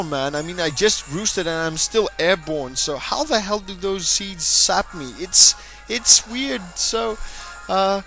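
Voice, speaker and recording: A young man commentating a video game battle, excitable and jokey, speaking to his audience as ladies and gentlemen. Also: a little high, rough recording. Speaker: gaming commentator. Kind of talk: Let's Play battle commentary. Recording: music playing behind the voice.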